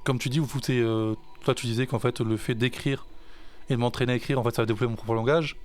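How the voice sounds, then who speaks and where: A man's voice: medium pitch, steady tone, fast talker, a little mellow. A French man, a music teacher and songwriter, chatting in a casual podcast and hesitating with many fillers and restarts.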